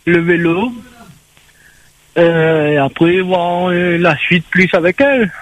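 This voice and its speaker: Slow, hesitant man on a phone-in. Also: high voice, no echo, poor audio. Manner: hesitant, halting. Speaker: caller. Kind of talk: phone-in chat. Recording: radio phone-in.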